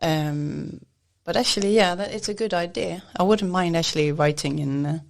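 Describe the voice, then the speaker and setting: A woman from Sweden, chatting as a jazz singer in a relaxed interview. She laughs often and trails off into fillers. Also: lowish voice, normal speed, gravelly. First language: Swedish. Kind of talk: casual interview chat.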